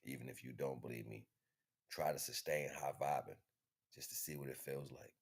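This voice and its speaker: A man's voice: rumbling low, fairly even intonation, moderate pace, husky. A man giving a casual motivational wellness talk in an emphatic, slangy, streetwise way. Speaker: host.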